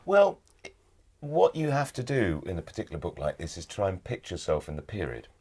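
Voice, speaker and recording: A British man talking as an interview guest, with measured, thoughtful and emphatic phrasing. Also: low voice, huge pitch swings, a little mellow, breathy edge. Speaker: guest, actor and audiobook narrator. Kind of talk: casual interview chat.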